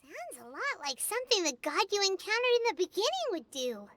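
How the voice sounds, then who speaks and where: A woman voicing a tiny, childlike fairy companion in an anime-style video game, chatty, squeaky and excitable. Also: a little fast, dry recording, heavily acted. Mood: disapproving. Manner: squeaky, refers to self in third person.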